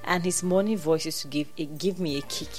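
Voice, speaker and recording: Low-voiced Nigerian woman chatting. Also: brisk, darkish tone, soft background music. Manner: chatty storyteller, many restarts and quoted voices. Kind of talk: casual chat.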